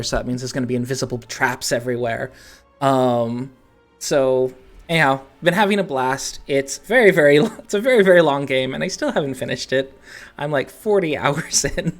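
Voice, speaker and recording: Casual gaming podcast host, medium pitch, conversational delivery. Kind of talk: casual video game podcast chat.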